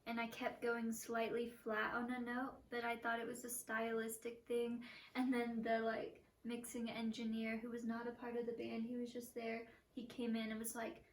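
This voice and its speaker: A woman's voice: on the high side, completely flat delivery, moderate pace, distant mic. A young woman from Portland, Oregon, chatting casually as a guest musician, self-deprecating and laughing easily. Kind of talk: casual chat. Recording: echoey room, a bit off-mic.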